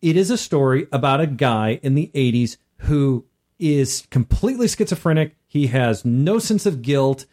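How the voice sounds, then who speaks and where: A man's voice: mid-range voice, animated, normal speed, darkish tone. A man co-hosting a podcast, chatting casually and giving opinions in a blunt, emphatic, informal manner. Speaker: podcast co-host.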